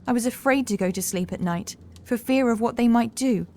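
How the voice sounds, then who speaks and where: A woman playing an anxious, dreamy housemaid in a horror audiobook, confiding softly and hesitantly. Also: on the high side, a little fast, lightly acted. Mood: haunted, fearful. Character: fragile young housemaid haunted by cruel masters, dreamy and anxious.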